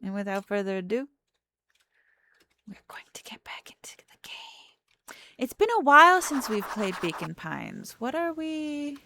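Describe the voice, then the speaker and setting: A woman's voice: fairly high pitch, sing-song, unhurried, creaky, sharp tone, slight background noise. A woman streamer chatting casually and playfully while playing a game. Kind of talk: casual livestream chat.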